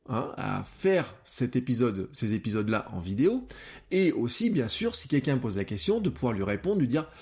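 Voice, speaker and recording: Lively, hesitant podcast host thinking aloud. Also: male voice, medium pitch. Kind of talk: solo podcast monologue. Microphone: close podcast microphone.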